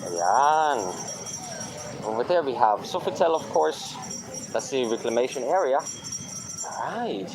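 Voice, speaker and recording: Chatty man vlogging on a windy beach. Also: fairly high pitch. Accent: non-native Tagalog accent. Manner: chatty, mixing English with Tagalog phrases. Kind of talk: casual walking vlog commentary. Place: outdoors on a windy city beach. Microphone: handheld camera, filming while walking.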